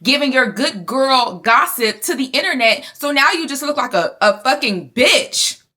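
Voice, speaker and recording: A woman's voice: sits high, animated, normal speed, pushed and bright. A young adult woman co-hosting a podcast, talking bluntly and emphatically with plenty of profanity in casual chat. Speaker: podcast co-host.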